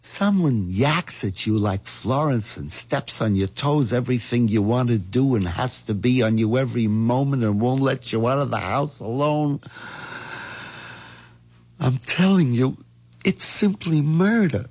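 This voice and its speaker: Meek hen-pecked husband, vintage radio. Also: male voice, medium pitch, unhurried, darkish tone, slightly rough. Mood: rueful, confiding. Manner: hesitant, put-upon. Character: meek, hen-pecked middle-aged husband, wistful dreamer. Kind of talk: 1970s radio drama acting with confiding narration. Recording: dull vintage radio recording.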